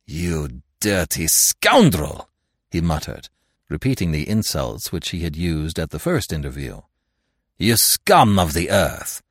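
Man voicing a gruff police inspector, furious, barking, lower voice, dry. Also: animated, moderate pace, darkish tone, dry recording, heavily acted.

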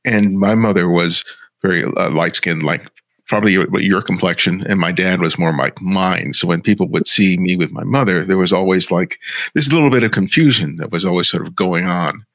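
Deep-voiced man interviewing unhurriedly. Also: fairly bright tone, dry recording. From Los Angeles. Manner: unhurried, sharing personal stories. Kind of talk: conversational interview.

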